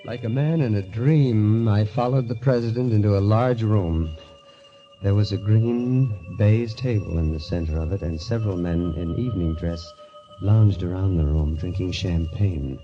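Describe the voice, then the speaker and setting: A man's voice: fairly low pitch, normal speed, faint music behind, natural voice. An actor playing an uneasy, polite man recounting his entry into a sinister world, in grave 1940s radio style. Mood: grave, haunted. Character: uneasy, hesitant man drawn into a death club, narrating. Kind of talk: 1940s radio acting, first-person mystery narration. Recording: dull, lo-fi vintage radio recording.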